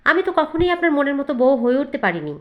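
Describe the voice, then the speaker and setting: A woman's voice: high voice, very animated, fast talker, highs cut off, pitched above the performer's own voice. A woman voicing a hurt, defiant young working wife in a cartoon, firm and outspoken. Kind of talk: cartoon voice acting.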